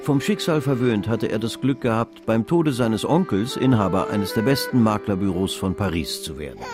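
A man with a low voice narrates a literary radio drama as an actor. He tells the story in a measured, novelistic way, with faint music at times. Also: expressive, lightly acted.

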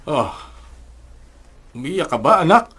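A man's voice: a little high, big pitch swings, slow pace, fairly bright tone, breathy edge, soft background music, heavily acted. An actor playing a worried, struggling middle-aged father in a Filipino radio drama, pressing with concern.

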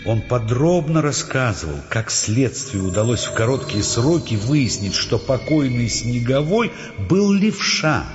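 Expressive male narrator in long reverb. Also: mid-range voice, measured pace, few pauses. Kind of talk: dramatised literary narration. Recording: dull sound, long reverb, music underneath. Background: music.

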